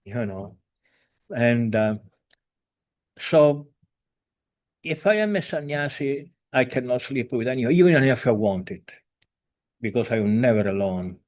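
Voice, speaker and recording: A man in his seventies talks earnestly over a video call, in a voice of ordinary middle pitch for a man. He speaks haltingly, repeating and restarting his words, with filler sounds and slightly broken, non-native grammar. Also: lively intonation, darkish tone. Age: 70s. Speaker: spiritual teacher. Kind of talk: informal talk by video call.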